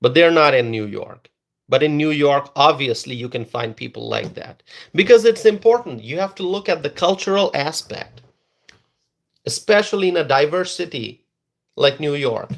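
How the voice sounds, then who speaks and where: A man's voice: on the high side, animated, normal speed, creaky. A man whose first language is Bengali, giving an emphatic political opinion monologue and repeating words for stress. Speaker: political commentator.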